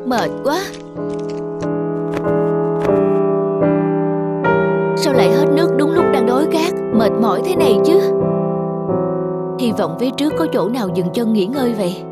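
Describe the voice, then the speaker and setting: A woman playing a weary, foolish and stubborn travelling man in a cartoon folk tale. The voice is high-pitched and sits over loud music. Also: lively intonation, fairly quick, close to an everyday voice. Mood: exhausted, thirsty. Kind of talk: animated cartoon dub.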